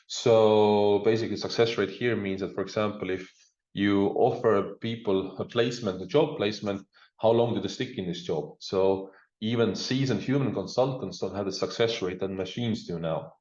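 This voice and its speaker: A man's voice: on the low side, steady tone, normal speed, a little mellow, reverberant, highs cut off. A man with an Estonian accent giving a conversational conference presentation remotely, peppering it with informal fillers like "look" and "you know". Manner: informal, uses frequent discourse markers like "look" and "you know". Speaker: guest speaker, digital government expert. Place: speaking remotely over a video link.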